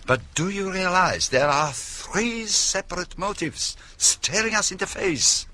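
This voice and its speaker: Radio drama detective: foreign, shrewd, probing, deliberate. Lively intonation with a crisp, bright edge. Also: male voice, high voice, full character voice. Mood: pensive. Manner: deliberate, probing, rhetorical questions.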